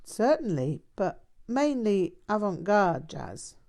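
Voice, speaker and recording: A woman voicing an earnest, literal-minded young male blues musician in a memoir, matter-of-fact and deliberate. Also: mid-range voice, sing-song, creaky, darkish tone, pitched above the performer's own voice, heavily acted. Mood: assured.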